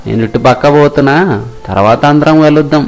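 A man voicing a hardworking, plain-spoken young village fisherman in an animated moral story. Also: on the high side, fast, close to an everyday voice. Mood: reassuring. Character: hardworking, stubborn but kind young fisherman.

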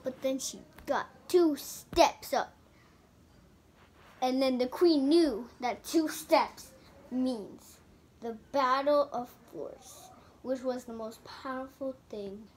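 Child storyteller, high voice, dramatic and halting, making up a toy fairy tale at an unhurried, slow pace. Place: indoors in a room at home.

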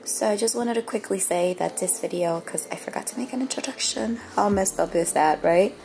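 A young woman with a raspy voice, a bit under the weather, chatting casually to viewers about her routine in a small bathroom. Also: medium pitch, a little fast, background noise. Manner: chatty, self-mocking, talks to viewers directly. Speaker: beauty vlogger. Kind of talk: casual chat. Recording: music playing clearly underneath.

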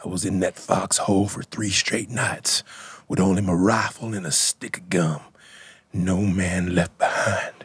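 A man playing a gruff, grizzled military veteran with a gritty voice, grumpy and barking in a comedy sketch. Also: deep voice, steady tone, unhurried, a bit bright, dry recording, pitched below the performer's own voice, exaggerated voice. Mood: proud, barking. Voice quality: gritty, gravelly. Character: gruff grizzled old war sergeant in a boy's body.

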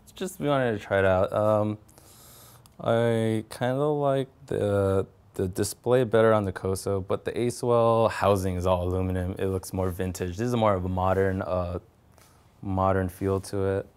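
A young man giving a casual, matter-of-fact technical walkthrough as a bike builder, in a halting way. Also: deep voice, fairly even intonation, a little mellow, clean tone. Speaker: custom motorcycle builder. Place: home garage with door open.

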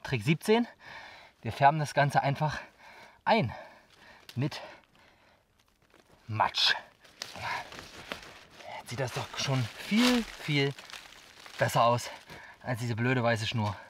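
A man's voice: mid-range voice, sing-song, slow, long pauses, fairly bright tone, slight background noise. A man in his thirties chatting casually to viewers while building outdoors in a misty forest, picked up by an action camera, puffing now and then from the work. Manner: chatty, addresses viewers directly, upbeat. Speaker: survival vlogger. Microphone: action camera mic at a distance.

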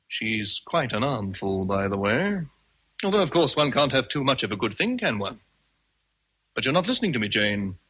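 Husky-edged British gentleman, teasing and brooding. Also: mid-range voice, low-quality recording. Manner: teasing, theatrical, deliberate. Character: brooding, teasing gentleman master in love with his governess. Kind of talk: period radio drama acting.

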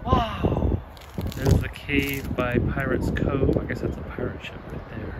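A man's voice: mid-range voice, big pitch swings, a little slow, gravelly. A middle-aged man vlogging casually about an RV trip, talking easily while riding outdoors. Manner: easygoing, chatty. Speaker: RV travel vlogger. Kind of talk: casual travel vlog chat and narration. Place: outdoors, riding an e-bike by the coast. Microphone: bike helmet intercom. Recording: loud wind and road noise.

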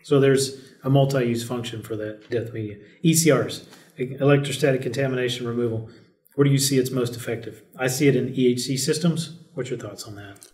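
A man in middle age speaks at a medium pitch in a measured technical register. He explains points and steers the panel, his voice ringing a little off the walls of a roomy space. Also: a little mellow. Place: small conference room, indoors. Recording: echoey meeting room.

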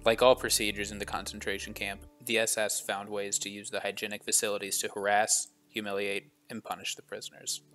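Low-voiced man reading solemn tour narration. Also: little pitch movement, fairly quick, hardly any pauses, a bit bright, soft background music. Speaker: travel vlogger narrating a tour. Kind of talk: scripted voice-over narration.

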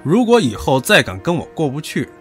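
A man's voice: fairly high pitch, huge pitch swings, a little fast, fairly bright tone, soft background music. An actor playing a crude, vindictive young man who makes taunting threats in an audio drama. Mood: threatening. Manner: menacing, taunting. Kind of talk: modern audio drama acting.

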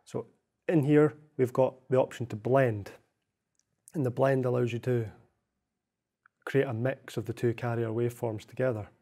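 A young man narrating a software tutorial in a measured, explanatory way. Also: mid-range voice, fairly even intonation. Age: young adult. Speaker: software demonstrator.

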